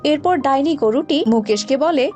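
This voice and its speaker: A woman's voice: high voice, animated, normal speed, darkish tone. A woman narrator reading a scripted story, with music behind.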